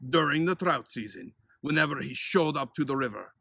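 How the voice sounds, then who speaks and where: A man narrating a children's story as an expressive storyteller. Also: fairly high pitch, brisk, gravelly, slight background noise.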